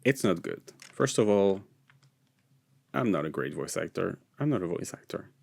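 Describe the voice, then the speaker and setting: A man's voice: low-pitched, lively intonation, normal speed, gravelly, a little mellow, slightly rough, no room sound. A man who speaks English as a non-native with an American leaning, narrating a screencast tutorial in an informal, explanatory way. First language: not English. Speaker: software tutorial presenter.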